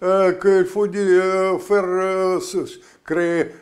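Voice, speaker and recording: A man's voice: high voice, little pitch movement, extremely slow. An older man with Russian-Ukrainian roots, a guest author speaking in an interview, rambling with many restarts and fillers.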